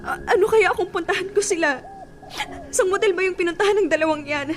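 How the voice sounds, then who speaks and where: A woman's voice: extremely high pitch, a little fast. An actress playing a heartbroken young woman whose boyfriend cheats, pleading and tearful, in a radio drama. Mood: crying.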